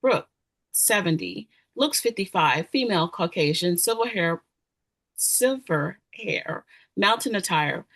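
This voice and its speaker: A woman's voice: lowish voice, animated, measured pace, fairly bright tone. Woman reading screenplay stage directions. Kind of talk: screenplay table read narration.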